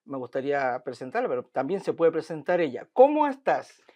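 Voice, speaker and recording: A woman hosting a conversational interview, lively and prompting with short replies. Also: low-pitched, fairly quick, dull audio. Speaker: podcast host.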